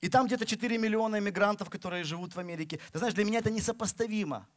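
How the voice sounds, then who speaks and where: A man's voice: high-pitched, racing. A middle-aged man from Russia preaching a sermon, with emphatic delivery that rises and falls. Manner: emphatic, rising and falling delivery. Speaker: pastor.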